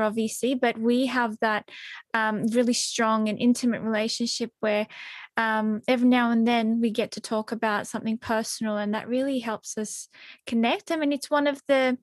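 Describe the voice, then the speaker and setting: A woman hosting a workplace podcast talks in a fairly high voice, chatty and open, with a stream of small fillers. Her voice sounds close and dry, with no room echo. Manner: chatty and warm, with many fillers and restarts. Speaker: podcast host, team member.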